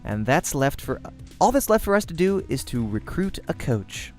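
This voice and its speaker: Blunt young female pro gamer, played by a man, curt and decisive visual novel read, lively pitch, music behind. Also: normal speed. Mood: brisk, bossy. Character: brisk, bossy young woman pro gamer, blunt and ambitious. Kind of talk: amateur visual novel character acting.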